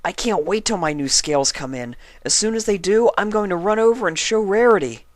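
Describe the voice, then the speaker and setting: A man voicing an eager, cheerful young male baby dragon in a storybook reading, with an excitable manner. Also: high voice, brisk, no echo, pitched above the performer's own voice. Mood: excited. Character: eager eighteen-year-old baby dragon, helpful and cheerful.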